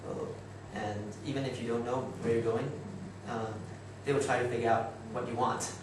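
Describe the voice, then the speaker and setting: A young Taiwanese man gives an informal talk in halting, chatty English with a Taiwanese accent, often doubling back on his phrases. His voice sits in a middle register. It is picked up from a distance in a very echoey room with clear background noise. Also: medium pitch, steady tone. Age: young adult. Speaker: student speaker. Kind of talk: informal presentation to students.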